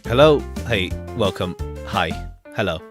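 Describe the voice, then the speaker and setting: A man's voice: on the low side, expressive, measured pace. A man streaming a game, chatting casually and reading dialogue aloud in a rambling, chatty manner. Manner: rambling, chatty, repeats himself. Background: background music.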